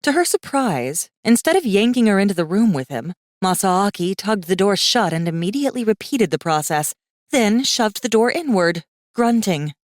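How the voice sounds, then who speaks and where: A woman narrating a fiction audiobook in an expressive, scripted storytelling style. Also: mid-range voice, normal speed, no echo, studio quality.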